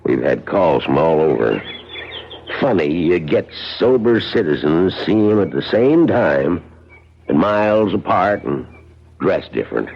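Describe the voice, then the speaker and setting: A skeptical small-town lawman in a radio drama. His low voice swings widely with folksy sarcasm and brushes off whatever he is told. The recording is muffled and faintly noisy. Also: big pitch swings, a little slow, vocal fry, full character voice. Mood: sardonic, dismissive. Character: skeptical, sardonic small-town lawman, dismissive of wild reports. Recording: muffled old radio broadcast, faint noise.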